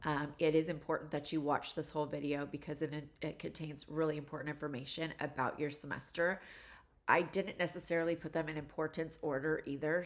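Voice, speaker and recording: A low-pitched woman in her forties with a stuffed-up, congested voice from allergies. She talks through a course introduction like a friendly college instructor, chatty and loose with plenty of "um" and "like". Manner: chatty, rambling, many "like" and "um" fillers. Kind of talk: course introduction video, casual lecture.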